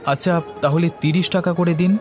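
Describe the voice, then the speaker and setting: A man's voice: on the high side, fairly even intonation, brisk, darkish tone, close to an everyday voice. A man voicing a hardworking village fan seller and family man in a cartoon, earnest and polite. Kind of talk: cartoon voice acting. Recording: music playing behind the voice.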